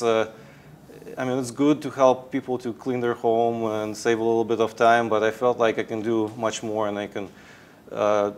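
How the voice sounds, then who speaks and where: A man in his thirties from Russia with a Russian accent, answering interview questions hesitantly with many fillers. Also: medium pitch. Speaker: guest, tech founder. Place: indoor studio set. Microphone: lapel.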